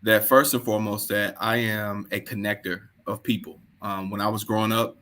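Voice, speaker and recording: A man from High Point, North Carolina, a panel guest talking in a laid-back, slangy way over a video call. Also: little pitch movement, moderate pace, fairly bright tone, a little hiss or hum. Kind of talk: casual chat on a video call.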